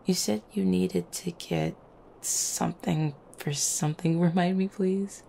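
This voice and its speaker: A woman playing an affectionate, playful vampire girlfriend in an intimate ASMR roleplay, teasing gently with small hesitant stammers. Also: lowish voice, fairly even intonation, measured pace, vocal fry, slight background noise. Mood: casual, affectionate. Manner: soft-hearted, teasing, hesitant little stammers and chuckles.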